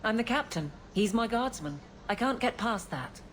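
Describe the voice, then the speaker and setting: An actress playing an earnest, guarded guard captain who talks haltingly about her feelings in a video game. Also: on the high side, animated, fairly bright tone, slight background noise. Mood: vulnerable, resigned. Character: grown woman guard captain, earnest, awkward in love, frustrated. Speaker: game character.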